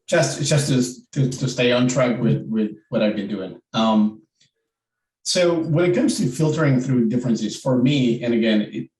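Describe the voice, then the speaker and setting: A man's voice: mid-range voice, normal speed, a little mellow, echoey room, distant mic, poor audio. A man from Argentina with an Argentine Spanish accent, speaking reflectively on a virtual panel and often repeating words as he thinks.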